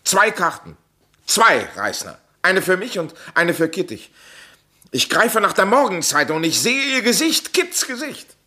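An agitated playwright suspected over his secret lover's death in a crime radio play. His voice is husky and forceful, swinging widely in pitch, with urgent, halting emotion. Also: very animated, heavily acted. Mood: anguished. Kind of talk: German crime radio drama acting.

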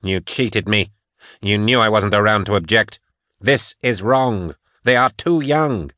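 A man voicing a defiant, hot-tempered young woman in an audiobook, with sharp and insistent delivery. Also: fairly low pitch, unhurried, no echo, clean studio sound. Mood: angry, accusing. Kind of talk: audiobook character acting.

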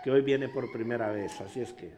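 A man from Honduras preaching in Spanish with a Honduran accent, pausing for an interpreter and telling stories with animated humor. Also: medium pitch, a little hiss or hum. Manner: animated storytelling, laughs at himself. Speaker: pastor. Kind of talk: bilingual sermon with interpreter.

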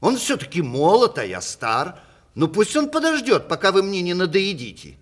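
An actor playing a witty, vain aging playwright in a 1960s Russian radio play, ironic and deliberate, with mock-tragic pauses. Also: male voice, high voice, huge pitch swings, full character voice. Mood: mock-jealous, wounded. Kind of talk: 1960s Russian stage radio play, letters performed aloud.

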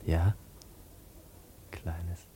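Casting: young fiancé, fairly low voice, soft and earnest, radio drama over faint noise. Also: male voice, level tone, extremely slow. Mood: tender. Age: young adult. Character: gentle, reassuring young bridegroom in love. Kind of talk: serious German radio drama acting.

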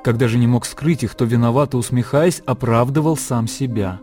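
Measured male narrator over music. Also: medium pitch. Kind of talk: literary narration for a radio play. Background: music and birdsong.

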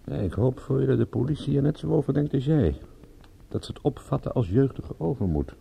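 A wry private detective in a radio play, with a mellow, lowish voice that moves freely in pitch. He is terse and dryly ironic, sometimes hesitant, over faint recording noise. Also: expressive, a little fast, creaky. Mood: dry, probing. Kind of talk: radio drama acting in Dutch.

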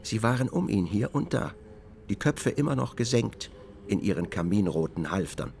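Expressive narrator with gravelly edge. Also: male voice, fairly low pitch, a little hiss or hum. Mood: descriptive, measured. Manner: measured, descriptive, literary. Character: measured male literary narrator observing closely. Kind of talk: scripted radio drama narration.

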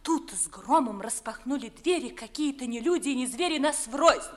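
A woman's voice: high voice, lively intonation, moderate pace. An actress playing a headstrong, dreamy young noblewoman in love, declaiming verse in 1950s theatrical radio style. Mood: breathless, dreamy.

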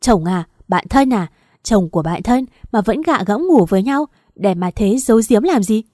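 A woman's voice: medium pitch, lively intonation, brisk, no room sound, natural voice. A woman voicing a betrayed young wife who screams furious accusations at her husband and friend in a dry, close recording. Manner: shouting, raging accusations.

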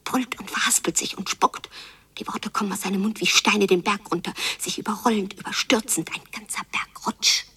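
A woman playing a frightened daughter in a radio drama, thinking aloud in hushed, breathy fragments. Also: mid-range voice, steady tone, moderate pace, whispered, distant mic. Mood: bitter, hurt. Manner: hushed, breathy interior monologue in clipped fragments. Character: Jule, frightened daughter brooding over her quarrelling parents. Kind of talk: literary radio drama monologue.

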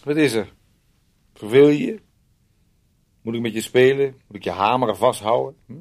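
A man's voice: medium pitch, moderate pace, a little mellow, close to an everyday voice. An actor playing a domineering man in a radio play, sarcastically needling and teasing his timid housemate, swinging between outbursts and muttering. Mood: taunting. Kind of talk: Dutch radio play acting.

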